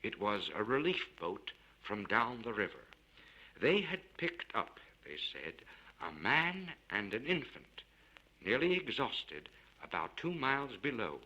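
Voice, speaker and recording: A man reading a literary story aloud in a measured, theatrical and expressive way, recorded for a vintage spoken-word LP. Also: fairly low pitch, muffled recording. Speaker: audiobook narrator.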